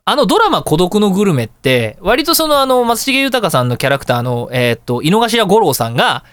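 A man hosting a radio show, telling anecdotes in a casual, chatty, animated way. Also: high voice, a little fast, clear, resonant tone, no room sound. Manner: animated, chatty storytelling with colloquial asides.

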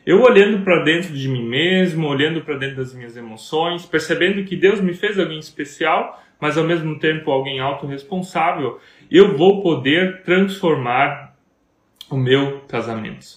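An earnest Brazilian man co-hosting a talk on marriage, speaking in a preacherly way and asking question after question. His voice sits a little high for a man. The sound carries a roomy echo. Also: normal speed. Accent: Brazilian Portuguese.

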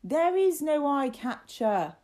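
A woman's voice: mid-range voice, very animated, normal speed, dark tone, close to an everyday voice. A woman voicing a mischievous older servant boy in a storybook, emphatic and impatient. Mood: exasperated.

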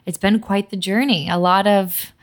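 A woman in her thirties from Massachusetts, with Polish as her first language, guesting on a podcast and telling stories in an animated, candid way. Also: mid-range voice, normal speed. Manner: animated storyteller, candid and warm-hearted. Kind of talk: casual podcast chat.

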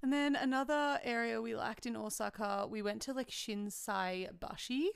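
A young Australian woman with a loud voice, chatting casually about travel as a podcast co-host and saying "like" often. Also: a little high, moderate pace, creaky, smooth, dry recording, studio quality.

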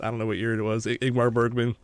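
A man co-hosting a film podcast in casual chat, chatty and quick to laugh at himself. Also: medium pitch, completely flat delivery, smooth. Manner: chatty, laughs often, self-deprecating. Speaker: podcast co-host reviewing films.

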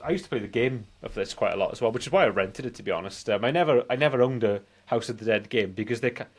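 British guy in his 40s, mid pitch, rambles on nostalgically like a casual film podcast host. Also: quick pace, husky. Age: forties. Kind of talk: casual chat.

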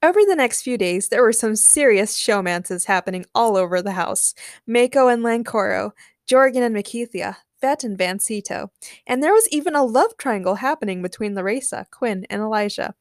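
High-voiced young woman podcasting, close and dry. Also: expressive, normal speed, dry recording. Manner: giggly, gushing, informal. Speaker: podcast host. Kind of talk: casual solo podcast chat and fan fiction narration.

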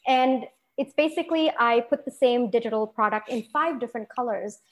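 Young woman from singapore, kinda high voice, chatty and laughing a lot, keeps restarting her sentences. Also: a little fast. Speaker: guest entrepreneur. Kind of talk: conversational podcast interview.

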